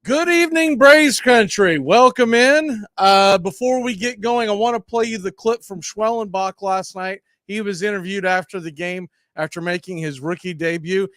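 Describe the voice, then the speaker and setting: A man's voice: sits high, moderate pace, few pauses, a bit bright, no echo. A man hosting a sports talk show, loose and upbeat, talking off the cuff into a close mic. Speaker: radio show host.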